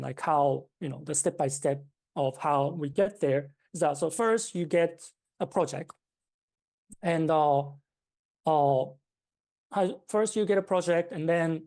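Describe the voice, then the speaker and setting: A woman's voice: sits low, warm tone. A woman from Japan with a Japanese accent, a founder being interviewed, explaining at length with many fillers and restarts. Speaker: startup founder, guest.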